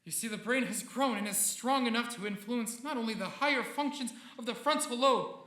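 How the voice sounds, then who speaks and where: An actor playing an obsessed, excitable and defensive scientist in a theatrical, agitated live radio drama. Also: medium pitch, fairly quick, lightly acted. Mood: agitated, insistent. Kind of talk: live 1940s-style radio drama acting. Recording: roomy live stage sound, faint music behind.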